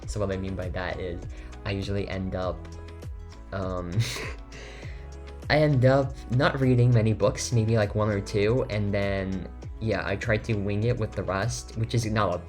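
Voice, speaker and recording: A teenage boy whose first language is Lithuanian talks in a fairly low voice, chatting loosely over game footage. He rambles and restarts often, leaning on fillers like "um" and "like". Faint music plays quietly under his home-recorded voiceover. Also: steady tone, measured pace. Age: teens. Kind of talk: casual voiceover chat over gameplay. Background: faint background music.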